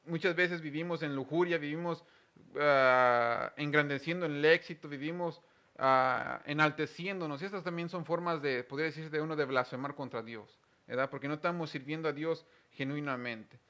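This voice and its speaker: A man with a fairly high, bright voice hosts a Bible programme. He explains scripture conversationally and earnestly, putting emphasis on key words. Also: normal speed. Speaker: religious programme host and Bible teacher.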